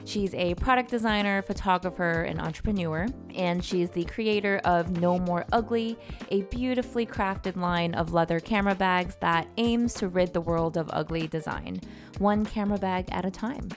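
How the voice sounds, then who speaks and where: A woman hosts a podcast and opens the episode with a scripted introduction before moving into a conversational interview. Her voice is a bit low, and she asks her questions with clear curiosity. Faint music plays under her. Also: moderate pace. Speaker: podcast host.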